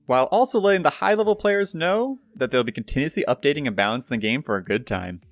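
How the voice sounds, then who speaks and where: Animated young man presenting, fairly high voice. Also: no echo. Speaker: YouTube gaming news host. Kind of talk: scripted video game news narration. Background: background music.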